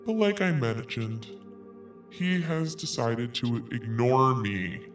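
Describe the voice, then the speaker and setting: Guy reading some email out loud on his podcast, sarcastic little asides, kinda far from the mic, faint music under it. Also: mid-range voice, expressive, slow. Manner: sarcastic, cutting in with snarky asides. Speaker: podcast host. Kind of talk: commentary, reading an email aloud.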